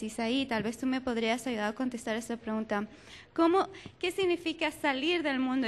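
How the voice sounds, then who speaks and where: A woman with a high voice leads a church youth panel. She introduces each guest in turn, then puts questions to the group. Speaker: panel moderator.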